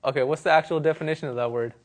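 A man whose first language is Urdu, calmly questioning and debating in a casual conversation. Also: fairly high pitch, racing. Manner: calm, probing, asks pointed questions. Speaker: interviewee.

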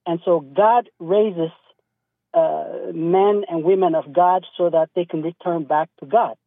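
A man's voice: on the high side, fairly even intonation, a little slow, vocal fry, no room sound. A man from East Africa calling in to a radio show, speaking hesitantly with many fillers and restarts. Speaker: caller. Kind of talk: call-in radio conversation. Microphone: phone. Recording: tinny phone line.

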